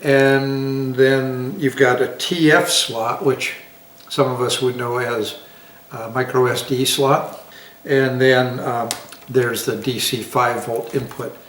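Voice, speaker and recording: A man's voice: medium pitch, fairly even intonation, takes it slowly, fairly bright tone, slight background noise. An older man, sixty or above, giving a casual product demonstration in an easygoing, folksy, plain-spoken way. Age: 60s or older. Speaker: product reviewer. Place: home dining room, indoors. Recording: echoey room, distant microphone.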